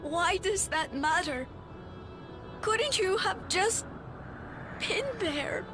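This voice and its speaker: Casting: a wounded young child in a game drama, high voice, slow tearful pleading. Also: female voice, expressive, music in the background, exaggerated voice. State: crying. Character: hurt abandoned child, tearful and pleading with father. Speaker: voice actor in a video game cutscene. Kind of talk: dramatic video game cutscene acting.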